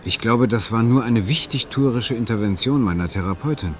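A man's voice: medium pitch, brisk, slight background noise, natural voice. An actor playing a lonely, awkward man in an audio drama, hesitant and self-justifying as he defends himself. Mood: defensive. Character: defensive, lonely male dream-therapy client, awkward romantic. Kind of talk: German audio drama dialogue.

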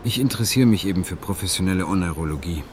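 A man's voice: sits low, animated, very fast, noisy background. An actor playing a lonely, awkward man in an audio drama, hesitant and self-justifying as he defends himself. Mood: defensive. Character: defensive, lonely male dream-therapy client, awkward romantic. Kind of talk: German audio drama dialogue.

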